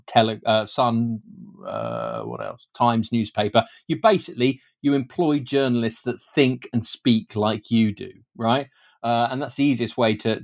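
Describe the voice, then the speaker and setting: A man with a British accent and a medium-pitched voice gives an informal, explanatory interview as a guest author. He talks in a halting way, often doubling back on words before carrying on. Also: gravelly. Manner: hesitant, often repeating words mid-sentence.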